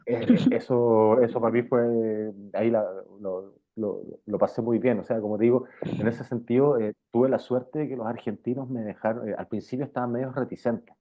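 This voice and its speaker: A man's voice: medium pitch, fairly even intonation, moderate pace. A Chilean man, middle-aged, speaking informally and with slang in a casual interview, often circling back over his phrases. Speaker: interviewed journalist. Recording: thin, phone-like band-limited sound.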